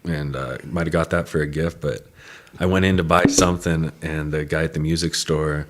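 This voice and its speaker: Deep voiced guy around 40, laid back radio chat, telling old stories. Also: moderate pace. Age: 40s. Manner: relaxed, reminiscing. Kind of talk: casual chat.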